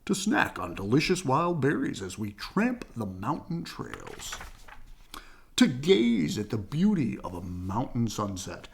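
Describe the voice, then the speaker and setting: A hearty storybook papa bear, put on by a reader, full of himself and easily excited. He talks in grand, unhurried phrases with big swoops in pitch. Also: vocal fry, full character voice. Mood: dreamily excited. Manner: grand, enthusiastic, drawn-out dreamy descriptions.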